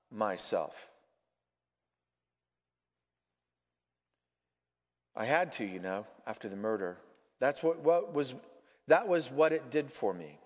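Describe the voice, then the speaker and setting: A man voicing a serene, mirthful redeemed spirit, gentle, patient and frank, in a dramatic storybook reading. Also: fairly high pitch. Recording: muffled, echoey large hall.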